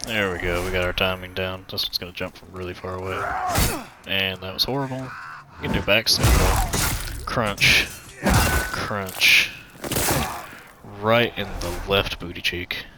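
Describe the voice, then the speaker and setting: A man's voice: low-pitched, steady tone, measured pace, heavy background noise. A man with a somewhat nasal voice giving laid-back, joking commentary on a game walkthrough through a headset mic that pops and cracks. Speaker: gaming channel host.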